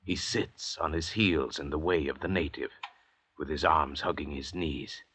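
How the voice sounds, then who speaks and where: An actor playing a haughty, cultured French doctor and murderer in an old radio drama, speaking in a formal, grandiloquent way. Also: male voice, rumbling low, unhurried, a bit bright. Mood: assured, ominous. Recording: old radio recording with hiss, clicks.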